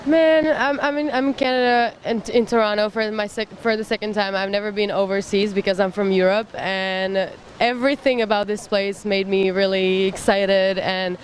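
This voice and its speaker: A woman's voice: mid-range voice, fairly even intonation, moderate pace, few pauses, slight background noise. A woman from Prague, a visiting musician, answering casually and enthusiastically on the street. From Prague, Czech Republic.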